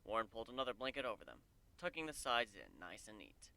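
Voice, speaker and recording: Bright, crisp male story narrator. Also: on the low side, very animated, fast talker. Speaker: narrator of a fan fiction reading. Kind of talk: scripted dramatic story narration.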